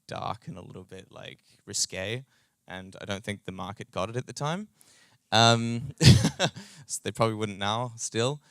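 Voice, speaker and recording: Low, creaky-voiced New Zealand man. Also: a bit bright, dry recording, clean studio sound. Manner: casual, halting, slangy, hedging with "like" and "sort of". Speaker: startup co-founder, tech and growth executive. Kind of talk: informal conference talk.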